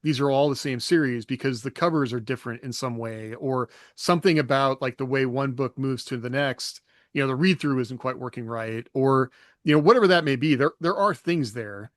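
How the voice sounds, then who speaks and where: A man co-hosting a podcast as an author, reasoning things through in a measured, casual way. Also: medium pitch, no room sound, clean studio sound. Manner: thoughtful, measured, many "you know" fillers.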